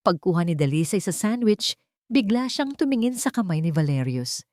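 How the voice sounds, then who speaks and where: A woman's voice: fairly low pitch, very animated, moderate pace, a little mellow, no echo, studio quality. A woman giving a scripted, dramatic narration of an audio love-and-betrayal story.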